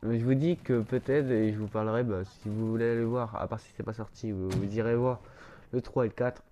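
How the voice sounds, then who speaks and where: A man's voice: lowish voice, fairly even intonation, brisk, warm tone, dull audio, a little hiss or hum. A man with a blocked, stuffy nose giving casual gameplay commentary, rambling and hesitant, full of fillers and restarts. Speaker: gaming YouTuber.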